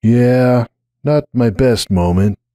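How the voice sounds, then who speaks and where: Man doing a nervous, sheepish pegasus guy, raised pitch. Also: slow pace, mellow, clear, resonant tone, no room sound, clean studio sound, close to an everyday voice. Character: nervous, defensive young adult male pegasus pony.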